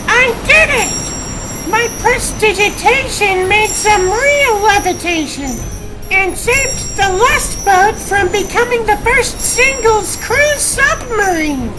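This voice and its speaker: A woman's voice: extremely high pitch, expressive, music in the background, full character voice, low-quality recording. A woman voicing a sassy, theatrical female cat sorceress superhero in a comic dub, drawing out her words, with noise behind her. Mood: triumphant. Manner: theatrical, drawn-out, punning. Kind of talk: comic book dub acting.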